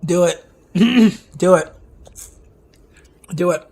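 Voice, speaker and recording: A man with a fairly high, husky voice gone hoarse and worn out talks through game replays on a live stream. He chats loosely with his viewers, restarting sentences and wandering into asides as he goes. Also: drawn-out words, a little mellow. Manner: chatty, talks to chat directly, many asides and restarts. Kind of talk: casual live-stream commentary.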